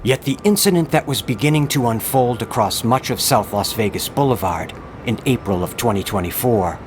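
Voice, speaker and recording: A man's voice: medium pitch, expressive, moderate pace, a little hiss or hum. A man giving a grave, theatrical scripted narration in the style of a true-crime horror tale. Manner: grave, florid, theatrical delivery. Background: music bed.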